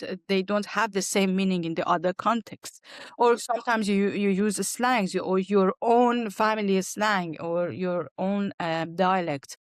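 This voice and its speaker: A woman's voice: mid-range voice, no echo. Iranian woman with a close, dry sound. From Iran. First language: Farsi. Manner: hesitant phrasing in non-native English. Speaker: guest, language teacher and archaeologist. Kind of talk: conversational interview answers.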